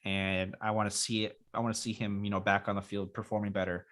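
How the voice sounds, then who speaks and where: Raspy-voiced man hosting a sports chat. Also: on the low side, quick pace. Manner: relaxed, rambling, filler-heavy. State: raspy after illness. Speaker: podcast co-host.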